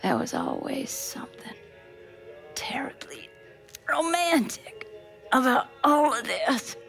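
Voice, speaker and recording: A woman's voice: high voice, very animated, slow, gravelly, a bit bright, whispered, soft background music, heavily acted. An actress playing a poised, refined and scheming society businesswoman in a video game cutscene. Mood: dazed, wistful.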